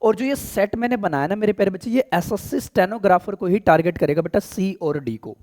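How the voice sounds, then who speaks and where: A hoarse man with an Indian accent teaching a live online class, speaking gently and slowly and clearing his throat often because of a sore throat. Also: high voice, lively intonation. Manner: affectionate, calls students "beta", clears throat often; deliberately soft, slow speech. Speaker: online exam-prep English teacher. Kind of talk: live online class, Hindi-English mix.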